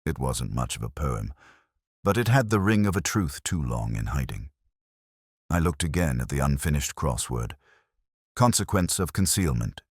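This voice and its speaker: A man narrates detective fiction in a very low, deep voice. His delivery is measured and reflective, yet the pitch moves with lively variation. The sound is close and dry. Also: breathy edge, dry recording. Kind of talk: scripted first-person detective story narration.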